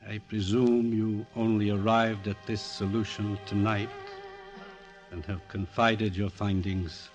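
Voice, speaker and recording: Low-voiced, suave, menacing older doctor played by a man. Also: little pitch movement, measured pace, close to an everyday voice. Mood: cool menace. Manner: urbane, dry, condescending. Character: suave, menacing senior male psychiatrist, secretly murderous. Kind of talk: old-time radio drama acting. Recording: restored vintage radio, orchestral music behind.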